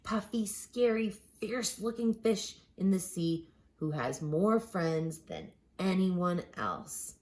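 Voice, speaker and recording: Expressive woman reading a children's storybook, lowish voice. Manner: expressive, with dramatic pauses and drawn-out emphasized words. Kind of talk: read-aloud picture book narration.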